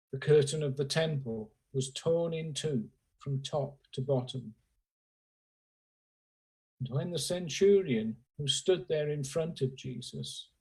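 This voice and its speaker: Male scripture reader for worship, husky voice, slow and solemn, pausing between phrases, on a video call. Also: medium pitch, a little mellow, rough recording. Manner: slow, measured phrasing with pauses. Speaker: scripture reader at a church service. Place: remote, on a Zoom call. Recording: remote video call audio.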